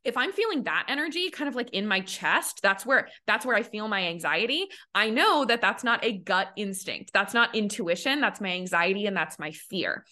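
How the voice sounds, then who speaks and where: A woman's voice: mid-range voice, lively intonation, normal speed, hardly any pauses, pushed and bright. A young woman hosting a podcast as a money coach, talking casually with lots of "like" fillers and acting out the voices she quotes. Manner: chatty, many "like" fillers, acts out quoted voices.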